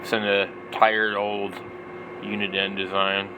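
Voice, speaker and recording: A man's voice: on the low side, monotone, a little slow, very noisy. A man in his forties giving casual, off-the-cuff product commentary, rambling and thinking aloud. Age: 40s. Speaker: vlogger, radio hobbyist.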